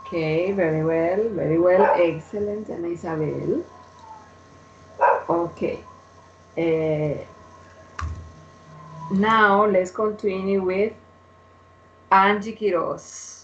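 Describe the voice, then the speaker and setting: A woman's voice: on the low side, steady tone, slow pace, long pauses. A woman, a tutor leading a class video call who repeats short praise. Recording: muffled call audio, faint noise.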